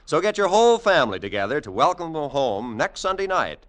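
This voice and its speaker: Bright, animated male radio announcer. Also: a little high, a little fast. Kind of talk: 1940s network radio announcing, scripted. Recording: vintage 1940s radio broadcast. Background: music.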